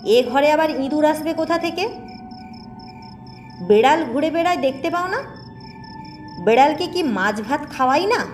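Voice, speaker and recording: A woman's voice: high voice, lively intonation, normal speed, pauses often, soft background music. A woman voicing a fearful, grumbling old village maidservant in a huffy manner for an audio ghost story. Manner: querulous, huffy.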